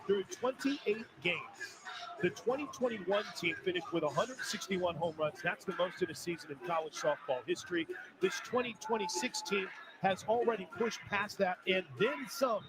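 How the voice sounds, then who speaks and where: A man delivers broadcast play-by-play in an upbeat, stat-heavy way. His voice sits high for a man. Loud noise runs under the broadcast audio. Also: moderate pace. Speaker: play-by-play announcer. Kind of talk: broadcast sports commentary.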